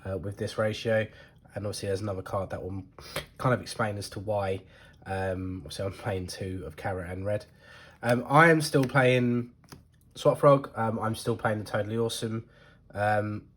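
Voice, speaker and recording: A man talking casually about his card deck, hesitant and full of ums, sounding stuffy from a cold. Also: lowish voice. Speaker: card game deck reviewer. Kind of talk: casual talk to camera.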